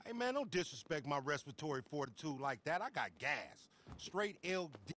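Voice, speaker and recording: Cocky, high-pitched young American gangster. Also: male voice, moderate pace, slight background noise. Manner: brash, animated trash talk. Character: cocky, boastful, motormouthed young male gangster and would-be rapper. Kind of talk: video game cutscene acting, street slang.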